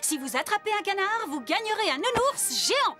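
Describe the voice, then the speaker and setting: A woman's voice: extremely high pitch, sing-song, a little fast, pushed and bright, faint music behind, full character voice. A woman voicing a smug fairground stall keeper in a French cartoon dub. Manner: barking, smug.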